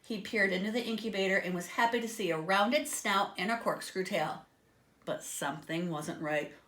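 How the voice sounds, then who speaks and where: A woman reading a children's story aloud in an expressive, dramatic way, with asides to her listeners. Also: medium pitch, moderate pace. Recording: echoey, roomy sound.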